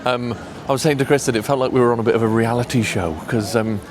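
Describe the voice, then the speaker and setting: A man, talking quietly and hesitantly to camera in a casual travel vlog, pausing often with ums, on a clip-on microphone. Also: mid-range voice, steady tone, noisy background. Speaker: vlogger.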